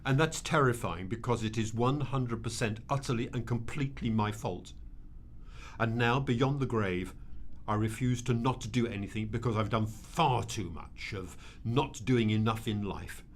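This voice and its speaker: A man's voice: on the low side, moderate pace, slight background noise, close to an everyday voice. A man in a radio drama playing a guilt-ridden wealthy man, earnest, emphatic and pleading. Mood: anguished, defiant.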